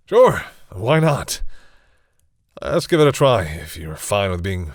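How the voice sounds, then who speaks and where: A man playing a proud vampire captor who is awkwardly softening, speaking hesitantly and condescendingly to the listener in an intimate audio roleplay. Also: on the low side, big pitch swings, slow, no room sound, clean studio sound, exaggerated voice. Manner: hesitant, sighing, wryly condescending toward humans.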